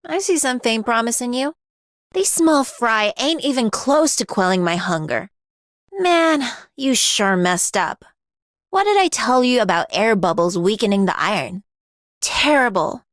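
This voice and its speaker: A swaggering, ageless warrior smith from a video game, speaks in a bright-edged feminine register that sits a little high. Her pitch swings widely as she tosses out blunt slang and teasing boasts. The sound is dry and close, with no room around it. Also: no room sound. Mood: cocky, menacing. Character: cocky, brash, ageless female blacksmith warrior. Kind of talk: video game character voice lines.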